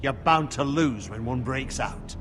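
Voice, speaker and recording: A man's voice: mid-range voice, a little slow, in a large hall, music in the background, close to an everyday voice. A man playing a combative veteran soldier who speaks bluntly and scornfully. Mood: forceful. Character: gruff hot-headed male veteran soldier.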